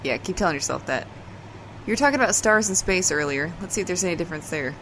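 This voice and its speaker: A woman's voice: on the low side, animated, fast talker, a bit bright, slight background noise, poor audio. A woman playing an exasperated, dry-witted character in casual audio drama banter, sardonic and matter-of-fact.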